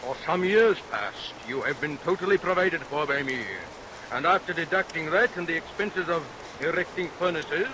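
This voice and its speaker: An actor playing a scheming, murderous villain barber in a vintage radio melodrama, declaiming theatrically and relishing each threat. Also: male voice, fairly high pitch, normal speed, natural voice. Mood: sly, mocking. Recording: vintage radio recording under rain noise.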